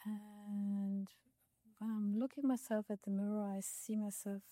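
A woman artist speaking in a soft, measured way, often slipping into a breathy whisper, in a gallery space. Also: medium pitch, little pitch movement, no echo. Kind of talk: conversational interview.

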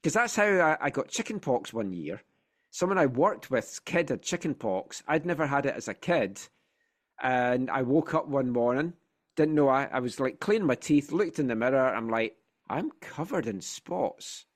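A man's voice: mid-range voice, normal speed. A middle-aged man with a Scottish accent, hosting a casual football podcast, chatty and playful, sounding a bit congested from a sinus infection. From Scotland. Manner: chatty, animated storytelling with playful asides. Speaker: podcast host.

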